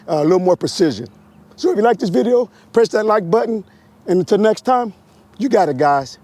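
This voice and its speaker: A hoarse man with a high voice talks riders through a slow-speed drill step by step, repeating his cues. He is outdoors on a wireless mic. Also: warm tone. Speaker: motorcycle riding instructor. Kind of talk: outdoor riding demonstration.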